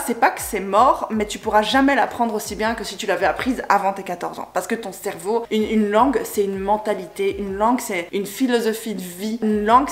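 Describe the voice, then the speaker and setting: A young woman in her twenties, chatting casually to camera in a storytime vlog, animated and digressive, gesturing a lot from a sofa at home. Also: medium pitch. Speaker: vlogger. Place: indoor room, sofa with colorful pillows. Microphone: camera in front, chest-up framing.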